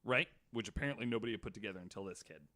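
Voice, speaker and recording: A man's voice: lowish voice, racing, sharp tone. An American man co-hosting a podcast, telling a story from notes with casual banter. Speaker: podcast co-host.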